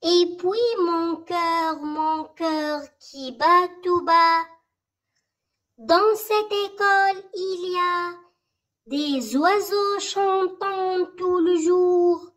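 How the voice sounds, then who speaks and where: Very high child-like voice, very slow recitation. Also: steady tone, a bit bright. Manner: measured, short phrases with pauses. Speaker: reciter of a French school poem. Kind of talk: slow poem recitation for memorization.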